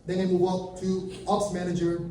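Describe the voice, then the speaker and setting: A man's voice: high voice, monotone, normal speed, mellow. A man in his thirties from Venezuela with a Venezuelan Spanish accent, Spanish his first language, giving a nervous, chatty live talk on a stage. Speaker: conference speaker. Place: large room with big windows, before an audience. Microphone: handheld mic, filmed by a far camera. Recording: echoey room from far off.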